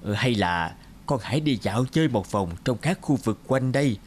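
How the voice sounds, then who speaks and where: A man's voice: mid-range voice, expressive, moderate pace, a little hiss or hum, close to an everyday voice. A man voicing an elderly mother in a cartoon fairy tale, fond and insistently urging. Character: elderly mother, fond, nagging for a daughter-in-law. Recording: music under the voice.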